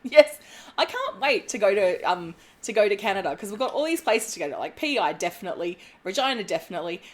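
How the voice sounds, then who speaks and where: A woman's voice: medium pitch, very animated, fast. A woman co-hosting a podcast, chatting enthusiastically about a baking show with lots of fillers. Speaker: podcast co-host. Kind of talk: casual chat recapping a TV baking show.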